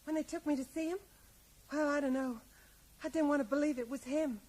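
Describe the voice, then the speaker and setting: High-voiced American widow, played by an actress. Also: female voice, a little fast, darkish tone, natural voice, low-quality recording. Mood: shaken, wistful. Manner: rambling, ends remarks with tag questions. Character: plain-spoken small-town widow, resigned and insecure. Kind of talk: radio drama dialogue. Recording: faint noise, 1980s radio play.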